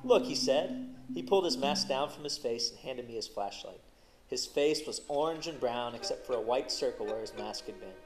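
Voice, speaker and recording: A man narrating a first-person story in an expressive, dramatic way as host of a story show. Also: medium pitch, moderate pace, faint music behind, rough recording. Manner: dramatic, animated on exciting parts.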